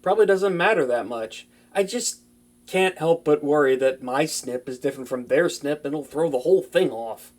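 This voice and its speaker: Performer acting a young fantasy wizard, earnest, flustered, anxious stammer, chatty, very lively pitch, intimate audio drama. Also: moderate pace, close to an everyday voice. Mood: thoughtful, chatty.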